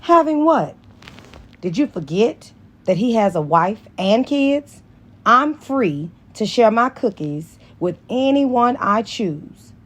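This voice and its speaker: A woman voicing a confident, fun-loving young female teacher in an audiobook, in a lively, assertive way. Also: on the high side, slow pace, voice pushed up, heavily acted. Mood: playful. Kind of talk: dramatized audiobook dialogue.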